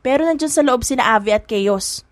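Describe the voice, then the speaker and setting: A woman's voice: high-pitched, expressive, a little fast, no echo. A woman voicing a smiling, welcoming male security guard in an audiobook drama.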